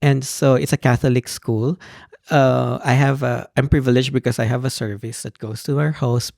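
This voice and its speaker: A young Filipino man, a PhD student, speaking as a podcast guest with a Filipino accent and an animated storytelling manner. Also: medium pitch, normal speed, gravelly, no echo, clean studio sound. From Philippines. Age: young adult. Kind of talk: conversational interview.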